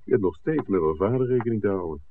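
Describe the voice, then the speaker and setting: A man's voice: on the low side, expressive, quick pace. An actor playing a worldly, principled man with a wry, bantering way, teasing his friend in a 1960s Dutch radio drama. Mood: wry, resigned. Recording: muffled, noisy old radio drama recording.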